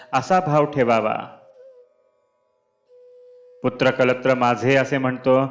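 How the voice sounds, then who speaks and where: A man reads a scripted spiritual discourse aloud in measured, deliberate phrases. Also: fairly high pitch, dull audio. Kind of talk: scripted devotional discourse reading. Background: clear background music.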